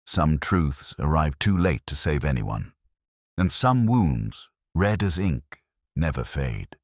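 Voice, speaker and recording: Man acting weary male inspector, very deep voice, expressive intonation, melancholy, solemn, literary detective audio drama narration. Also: slow, dry recording, clean studio sound, full character voice. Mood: melancholy, reflective. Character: world-weary, brooding male police inspector narrating his case. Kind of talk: scripted first-person detective story narration.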